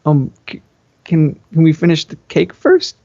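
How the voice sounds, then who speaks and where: A man voicing a timid, anguished young woman in love, halting and stammering as they plead, on a lo-fi home microphone. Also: a little high, very animated, gravelly, mellow, dry recording, voice pushed up, exaggerated voice.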